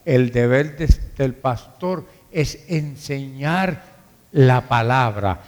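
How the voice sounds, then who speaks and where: Dominican pastor preaching in an echoing hall. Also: male voice, mid-range voice, lively intonation, takes it slowly, a little mellow. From Dominican Republic. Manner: emphatic, conversational, repeats phrases for emphasis. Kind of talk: sermon. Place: large reverberant room, church service. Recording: hall reverb.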